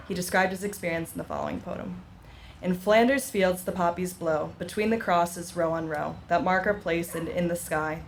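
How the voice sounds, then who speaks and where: A woman delivering a prepared ceremonial reading in a formal, measured way, speaking outdoors. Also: on the low side, poor audio. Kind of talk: prepared speech and poem recitation. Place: outdoor veterans' memorial ceremony. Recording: outdoor ceremony, background noise.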